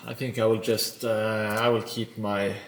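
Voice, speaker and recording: A man with a Norwegian accent, a native Norwegian speaker, talks to his stream as he works. His voice sits fairly low and has a gravelly fry, and he takes his time, rambling and mocking himself between pauses. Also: flat delivery. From Norway. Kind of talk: casual live-stream chat while building.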